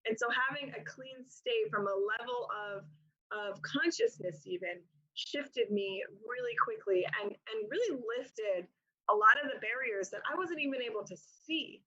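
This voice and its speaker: Middle-aged New woman, business coach type, bright forward voice, loose chatty delivery, slightly dull lo-fi audio. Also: a little high, expressive, unhurried. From Massachusetts, USA. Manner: chatty, many fillers and restarts. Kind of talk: casual motivational talk to camera.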